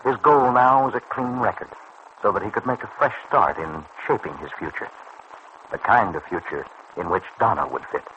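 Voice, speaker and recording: Solemn, low-voiced male vintage radio narrator. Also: sharp tone. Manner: formal, solemn, deliberate. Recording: dull, noisy old radio recording.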